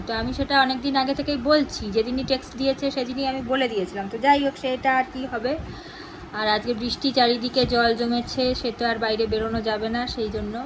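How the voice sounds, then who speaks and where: A middle-aged woman with a West Bengal accent chatting casually for a home vlog, rambling and rephrasing as she goes. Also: a little high. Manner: rambling, repeats and rephrases herself. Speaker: family vlogger. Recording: clearly noisy home recording.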